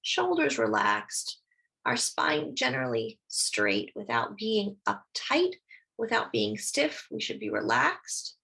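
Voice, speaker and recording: A woman leading a guided meditation, speaking slowly and soothingly as she gives gentle instructions. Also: mid-range voice, huge pitch swings, vocal fry, husky, rough recording.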